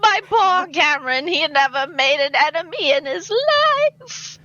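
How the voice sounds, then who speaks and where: A woman's voice: sits high, very animated, a little slow, bright, forceful tone, no echo, highs cut off, heavily acted. A woman playing a genteel, melodramatic British lady in an amateur radio play, with theatrical delivery. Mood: grief-stricken. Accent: put-on British. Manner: theatrical, dramatic exclamations.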